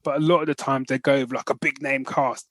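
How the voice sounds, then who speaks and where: A British man co-hosting a podcast, reviewing enthusiastically in casual, slangy, animated talk. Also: mid-range voice, fairly quick, no room sound. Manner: animated, slangy, often addresses listener as man. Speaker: podcast host.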